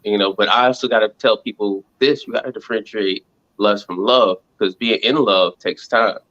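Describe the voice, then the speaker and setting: A man, answering casual interview questions in a halting, reflective way, thinking aloud. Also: on the low side, fairly even intonation, a bit bright, dull audio. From Montgomery, Alabama, United States. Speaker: reality show contestant interviewed as guest.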